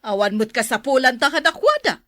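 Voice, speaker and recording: A woman plays a haughty, cold-hearted wealthy woman in a radio drama. She speaks with disdain and a very animated, swooping pitch, in a dry recording. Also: brisk, no echo. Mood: disdainful. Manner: disdainful, indignant.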